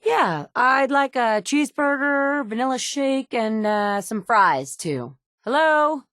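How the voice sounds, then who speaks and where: An actress voicing the player heroine of a wasteland game, delivering short, clipped, matter-of-fact lines. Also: female voice, sits high, lively intonation, slow pace, fairly bright tone, no echo, clean studio sound, heavily acted. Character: woman survivor, player hero of a wasteland game. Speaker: player character.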